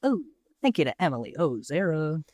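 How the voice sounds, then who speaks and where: American woman w a low voice, casual podcast banter, slangy and irreverent, no room echo at all. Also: very animated, moderate pace, a little mellow, clean studio sound. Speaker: podcast co-host. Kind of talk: casual comedic podcast chat.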